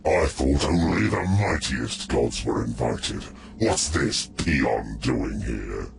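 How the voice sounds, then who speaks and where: Game war god, male: bottomless low pitch, slow and gravelly, scornful, battle-hungry, taunting. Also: lively intonation, a little hiss or hum, exaggerated voice. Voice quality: extremely deep, gravelly. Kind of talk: video game cutscene acting.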